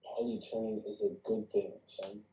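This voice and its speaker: A man playing a calm, calculating, powerful father in his fifties at a drama table read. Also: on the low side, normal speed, lightly acted. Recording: dull, echoey, distant call audio.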